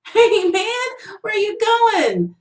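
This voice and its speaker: A woman plays a whiny, agitated man in a short story, pleading with a friend he leans on. The voice jumps higher and sounds slangy and insistent. The room echoes noticeably. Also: sing-song, very slow, far from the mic, exaggerated voice. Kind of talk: short-story audiobook character voice.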